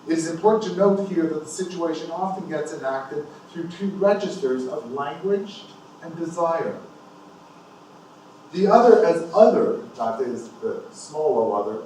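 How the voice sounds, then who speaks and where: A man presenting at a conference, reading a formal academic paper aloud with measured, deliberate phrasing. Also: a little high, darkish tone. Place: indoor university conference room. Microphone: distant from the speaker. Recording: echoey room, distant mic, faint noise.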